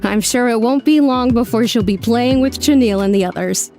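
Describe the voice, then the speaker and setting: A woman's voice: fairly high pitch, a little fast, darkish tone, faint music behind, natural voice. A woman playing a kindly, obliging villager in a video game, friendly and chatty. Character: kindly, helpful village woman, fond of ores. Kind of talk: video game character voice acting.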